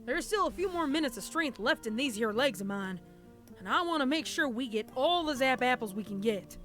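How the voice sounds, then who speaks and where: A woman's voice: high voice, expressive, fairly quick, fairly bright tone, soft background music, pitched above the performer's own voice. A woman voicing a stubborn, hardworking young farm pony with a folksy Southern US drawl, in a story reading.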